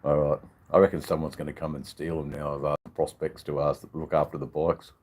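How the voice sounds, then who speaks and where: An older man with an Australian accent and a husky voice, chatting casually to livestream viewers in a rambling, drawn-out, joking way. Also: extremely low pitch, little pitch movement, darkish tone. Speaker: livestream channel host. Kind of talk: casual live chat with viewers.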